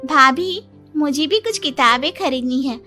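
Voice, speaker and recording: A woman's voice: sits high, measured pace, music in the background. A woman voicing a young college-going sister-in-law in a cartoon story. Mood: pleading.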